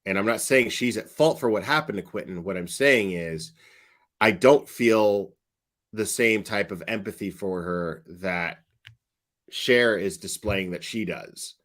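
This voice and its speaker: Brief: male commentator, low voice, unhurried delivery, blunt and sardonic, swears freely in off-the-cuff reaction talk. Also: fairly bright tone. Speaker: commentator reacting to online true-crime streamers.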